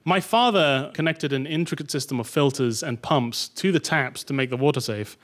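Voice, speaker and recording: A man from Malaysia presenting a topical comedy segment to a live audience, with deadpan punchlines and chuckling at his own jokes. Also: mid-range voice, normal speed.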